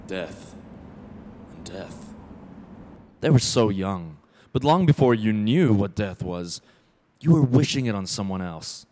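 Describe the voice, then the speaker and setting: A man's voice: low-pitched, darkish tone, heavily acted. A man acting as the brooding narrator of an ominous radio play, in dramatic fragmentary phrases.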